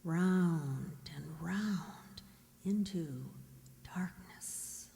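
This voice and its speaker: An actress playing a fearful mute young woman whose inner thoughts are heard in a hushed, halting monologue, in old radio thriller style. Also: on the low side, creaky, full character voice. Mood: uneasy, brooding. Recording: reverberant radio play with music behind.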